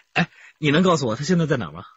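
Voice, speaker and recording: A measured, probing detective. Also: male voice, on the high side, breathy edge, no room sound, heavily acted. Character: steady male detective, probing questions. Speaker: police detective. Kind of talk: broadcast radio drama acting. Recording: dull lo-fi broadcast audio.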